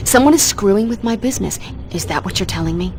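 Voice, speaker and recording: A woman's voice: medium pitch, lively intonation, fast, a little mellow, close to an everyday voice. A woman playing a haughty, ruthless crime heiress in a game cutscene, imperious, cutting and contemptuous. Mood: exasperated. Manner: imperious, foul-mouthed, cutting. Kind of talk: dramatic video game cutscene acting. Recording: background music under the voice.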